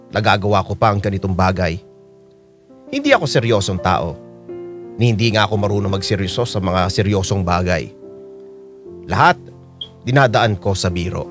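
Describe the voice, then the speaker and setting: An actor playing a lovestruck aging joker with a Filipino accent, confiding in a reflective radio drama monologue. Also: male voice, on the low side, close to an everyday voice. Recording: guitar music under the monologue.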